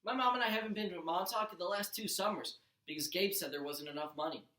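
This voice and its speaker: A man's voice: high-pitched, fast, sharp tone. A man, a teacher, narrating a first-person storybook aloud with expression. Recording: roomy echo.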